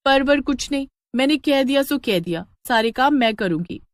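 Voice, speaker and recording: A woman voicing a kind, dutiful village paan seller, polite and earnest, in an animated story. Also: high voice, normal speed, no room sound, studio quality, pitched above the performer's own voice. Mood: caring, earnest. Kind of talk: animated Hindi storybook acting.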